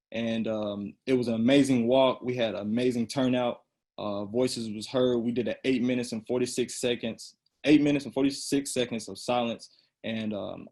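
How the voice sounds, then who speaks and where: A man's voice: medium pitch, a little mellow. A young man, a student athlete on a panel, speaking earnestly and with emphasis. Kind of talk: roundtable discussion.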